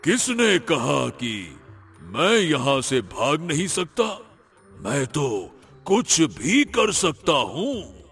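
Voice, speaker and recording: Cartoon demon: gravelly, blustering and gullible, swinging widely in pitch. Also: male voice, huge pitch swings, fairly bright tone, heavily acted. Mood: wary, boastful. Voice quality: raspy, gravelly. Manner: slow, drawn-out, blustering threats with gloating laughter. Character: boastful, menacing, gullible male demon. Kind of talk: exaggerated Hindi cartoon story acting. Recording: music behind the voice.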